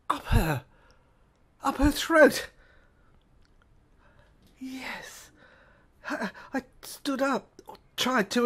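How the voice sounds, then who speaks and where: A woman performing dramatic first-person narration, haltingly, often repeating words and trailing off. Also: high-pitched, fairly bright tone. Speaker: narrator of an audio screenplay.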